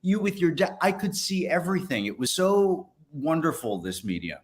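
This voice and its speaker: A man's voice: fairly high pitch, sing-song, moderate pace. A man interviewing a guest in casual conversation, speaking in an enthusiastic, complimentary manner.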